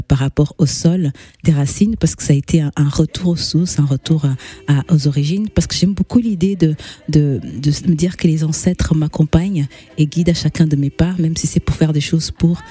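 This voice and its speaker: A woman's voice: deep voice, dark tone, dry recording, clean studio sound. A woman with a Portuguese accent, a native Portuguese speaker, answering as a guest in a radio interview in a reflective way with small restarts. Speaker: guest singer.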